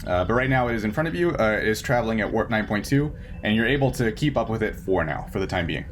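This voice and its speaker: A man narrating a tabletop game casually as game master, with halting, hesitant phrasing. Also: lowish voice, lively intonation. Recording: noticeable background noise.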